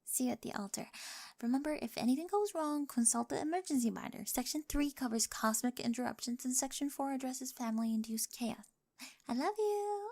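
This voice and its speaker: A woman plays an eager, data-obsessed young bride in an audio roleplay. Her voice sits high with a creaky edge, and she speaks in earnest, nerdy, exact terms, full of affection. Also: animated, a little fast, a little mellow. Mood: bubbly, affectionate. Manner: earnest, nerdy, precise scientific phrasing. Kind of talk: scripted ASMR roleplay acting.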